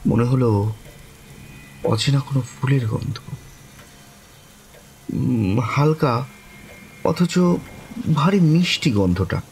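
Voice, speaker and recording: A man playing a courteous young man who narrates his own eerie encounter in a horror audio story, lingering and expressive. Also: mid-range voice, slow, pauses often, a little hiss or hum. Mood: smitten, wistful. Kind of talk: dramatic first-person horror story narration.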